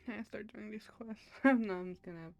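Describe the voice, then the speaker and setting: A woman's voice: medium pitch, fairly quick, lo-fi sound. A woman game streamer chatting casually as she plays. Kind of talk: casual gameplay chat. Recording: noisy stream with game sounds.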